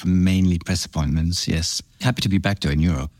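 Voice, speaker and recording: A middle-aged man from France and Belgium, a brand founder, recalling his past in a relaxed interview with halting, reflective restarts. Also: sits low, no room sound, clean studio sound. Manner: reflective, halting, warm storytelling with many restarts. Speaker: fragrance brand founder, guest. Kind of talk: casual interview chat.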